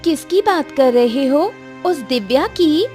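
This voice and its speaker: A woman's voice: sits high, animated, takes it slowly, darkish tone, voice pushed up. A woman voicing a proud, jealous, scheming rich man's daughter in a cartoon village tale. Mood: curious, incredulous. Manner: haughty. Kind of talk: cartoon moral tale acting. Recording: music behind the voice.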